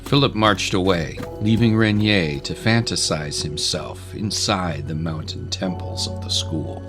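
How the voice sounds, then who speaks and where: Male narrator for dramatic fiction: low register, scripted delivery over clear music bed. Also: unhurried.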